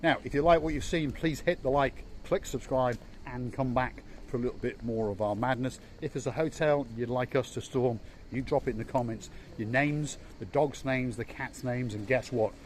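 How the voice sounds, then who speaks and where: A man talking to his camera as he strolls around an outdoor resort, chatty and teasing. His voice sits at an ordinary middle pitch. Faint outdoor noise runs under the sound. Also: normal speed. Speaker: vlog host. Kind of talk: casual walking tour vlog.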